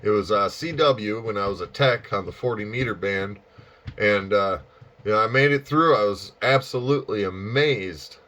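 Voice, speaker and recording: A man's voice: lowish voice, moderate pace, fairly bright tone. A man chatting casually and enthusiastically as an amateur radio operator, feeling his way through his phrases. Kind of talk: casual chat over ham radio.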